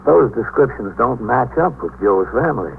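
An American man acting as a steady police captain in a 1950s radio drama, narrating his case in a measured, matter-of-fact way. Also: mid-range voice, creaky. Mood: patient, firm. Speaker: narrator and lead actor. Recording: muffled, noisy 1950s radio broadcast.

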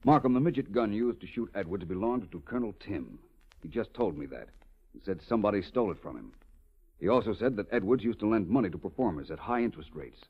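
A man's voice: lowish voice, expressive, fast talker, husky. A man playing a suave, unflappable private detective in a 1940s radio drama, polite, wry and understated. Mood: thoughtful, assured. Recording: muffled 1940s radio recording.

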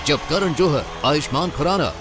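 An Indian man doing a high-energy advertisement voice-over as a promo announcer. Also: animated, rapid-fire. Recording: loud promo music behind.

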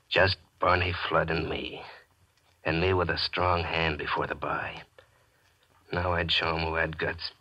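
A man's voice: very deep voice, bright, forceful tone, breathy edge, full character voice. An actor playing a bitter, jilted small-time gambler who tells his own story in hardboiled 1940s radio style. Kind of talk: 1940s radio acting, first-person crime narration. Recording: dull vintage radio recording, faint music.

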